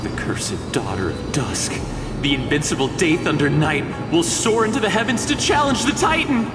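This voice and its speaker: A man's voice: a little high, very animated, moderate pace. A man playing a grave, foreboding tribesman in a video game, in a theatrical style. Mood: foreboding, incredulous. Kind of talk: scripted dramatic video game acting. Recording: echoey hall, loud music.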